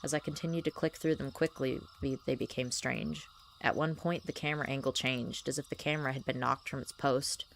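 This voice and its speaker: A young woman with a scratchy voice reading a horror story aloud, slow and hushed. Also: rumbling low, fairly even intonation, gravelly. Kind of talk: slow scripted horror narration.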